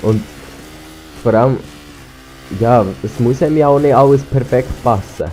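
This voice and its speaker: A man's voice: medium pitch, moderate pace, dark tone, slight background noise, low-quality recording. A teenage boy with a Swiss German accent, rambling casually over gameplay through a cheap headset while slightly sick with a cold. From Switzerland. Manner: rambling, hesitant, full of restarts and ums. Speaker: gaming YouTuber. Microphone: cheap gaming headset.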